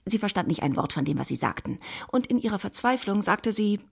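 A woman's voice: medium pitch, lively intonation, brisk, creaky, breathy edge. A woman narrating a literary radio drama in a scripted storytelling style.

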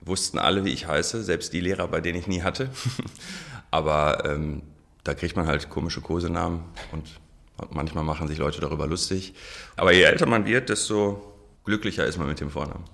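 A very low-pitched man in his forties answers questions on a radio interview. He thinks out loud, pausing on frequent ums and circling back to restart his sentences. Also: creaky. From Germany. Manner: hesitant, many ums, restarts. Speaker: interview guest, sociologist.

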